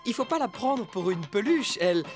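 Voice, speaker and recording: Voice actor doing a nervous-polite cartoon animal, halting, pitch up and down, music behind. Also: very animated, natural voice. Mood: tentative. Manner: halting, tentative. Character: hesitant, tactful talking cartoon animal.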